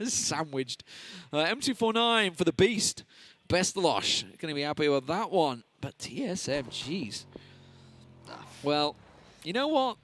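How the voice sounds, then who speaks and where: A man's voice: fairly high pitch, big pitch swings, slow pace, fairly bright tone, slight background noise. A man casting a live esports match on a headset, chatty and analytical, and he laughs easily. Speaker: esports caster.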